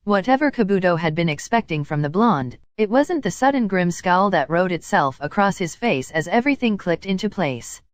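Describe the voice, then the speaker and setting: Lower-pitched woman reading a story, dry sound. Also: lively intonation, normal speed, few pauses, no room sound. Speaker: audiobook reader of fan fiction. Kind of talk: scripted story narration.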